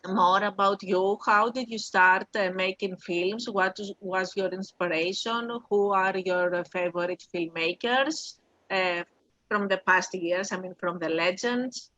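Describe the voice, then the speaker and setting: Female interview host, crisp bright tone, hesitant delivery, dry lo-fi line. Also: medium pitch, fairly even intonation, unhurried, dry recording. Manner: hesitant, with fillers. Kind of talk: interview questions.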